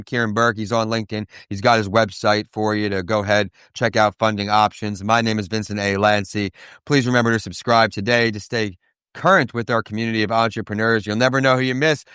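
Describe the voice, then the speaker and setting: Smooth-voiced upbeat male podcast host. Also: fairly low pitch, fairly bright tone, no echo, studio quality. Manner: upbeat, praising, slow and emphatic at times. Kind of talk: hosting an interview.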